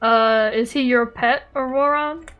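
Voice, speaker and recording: A woman's voice: fairly high pitch, steady tone, a little slow, a bit bright. A woman streamer giving casual commentary on a video game in an irreverent, slangy manner. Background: music.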